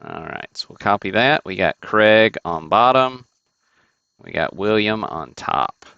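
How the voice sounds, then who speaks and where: A man's voice: on the low side, lively intonation, measured pace, vocal fry, sharp tone, clean tone, dry recording, highs cut off. A man hosting a sports card break livestream, chatting casually and thinking aloud with fillers and restarts.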